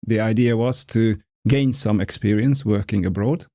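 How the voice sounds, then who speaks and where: A man in his forties from Hungary with a Hungarian accent, an architect talking through his work as a podcast guest in a measured, explanatory way. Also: lowish voice, mellow, no room sound, studio quality. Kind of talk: casual conversation.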